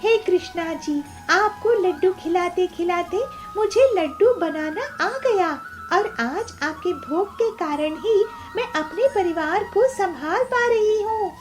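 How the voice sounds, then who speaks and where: A woman's voice: very high-pitched, takes it slowly, faint music behind, pitched above the performer's own voice. A woman voicing a cheerful, devout young bird who sells sweets, eager and singsong, in a children's cartoon. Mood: grateful, devout.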